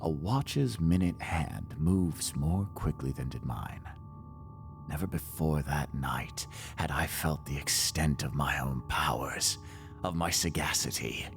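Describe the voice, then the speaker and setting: A man playing a nervous, boastful madman confessing a murder in a theatrical Gothic horror monologue, melodramatic and swinging between hushed and shrill delivery. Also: slow, gravelly, soft background music, heavily acted. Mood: boastful, cunning.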